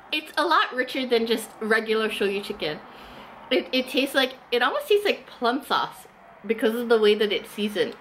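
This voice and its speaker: A woman's voice: a little high, a bit bright. A woman in her thirties chatting enthusiastically while tasting and reviewing food indoors, with lots of casual fillers. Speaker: food vlogger. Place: small indoor room, eating at a table.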